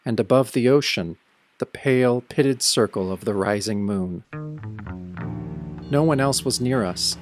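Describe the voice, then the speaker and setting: A man playing a brooding, tough hero who narrates an audio drama in the first person with grim, deliberate delivery. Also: medium pitch, music in the background, lightly acted. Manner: grim, deliberate hardboiled storytelling. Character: brooding tough male hero recounting his nightmare. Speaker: narrator and protagonist.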